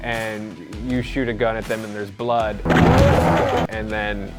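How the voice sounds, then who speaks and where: A man's voice: on the low side, flat delivery, slow, loud music behind the voice. A man giving casual, wry, joking commentary on movies.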